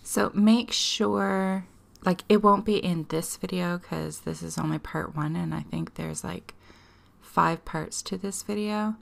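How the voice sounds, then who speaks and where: A woman hosting a craft tutorial, talking casually and chattily with a hoarse, strained voice. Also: on the low side. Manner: chatty, self-deprecating, laughs often. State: hoarse, losing her voice.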